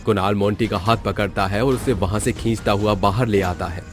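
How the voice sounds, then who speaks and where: A man's voice: on the low side, steady tone, fairly quick, over music. A man narrating a scripted horror story in a dramatic storytelling manner.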